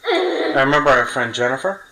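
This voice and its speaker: A man's voice: mid-range voice, wildly swooping pitch, normal speed, pushed and bright, a little hiss or hum, rough recording. Man hosting a casual chat. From New York City. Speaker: podcast host interviewing a friend.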